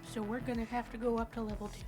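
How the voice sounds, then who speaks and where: A woman with a fairly high voice plays a tabletop roleplaying game. She chats casually in character with theatrical, salty phrasing, and music plays clearly behind her. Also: little pitch movement, fast. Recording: background music.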